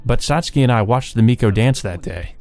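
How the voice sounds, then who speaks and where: A man voicing a polite, reserved young man, the story's lead, who speaks quietly and carefully. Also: mid-range voice, animated, a little fast, soft background music, lightly acted.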